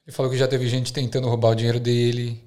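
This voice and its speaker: A man's voice: medium pitch, little pitch movement, fast, mellow. A man from Brazil hosting a podcast, asking curious questions and finishing his guest's sentences. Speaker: podcast host. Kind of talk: casual interview chat.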